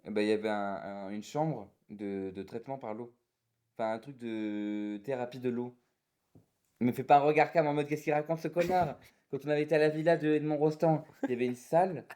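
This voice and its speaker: A man's voice: medium pitch, brisk, warm tone. A young man co-hosting a casual podcast, goofy and self-mocking, laughing easily at himself. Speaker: podcast co-host. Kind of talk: casual chat.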